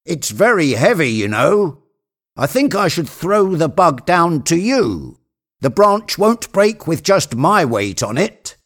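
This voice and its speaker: A reluctant storybook manservant, put on by a male narrator. He speaks slowly and warily in a raised voice with lively swings of pitch. His manner is hesitant and protesting. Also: heavily acted. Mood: wary. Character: reluctant, wary male servant calling out uneasily.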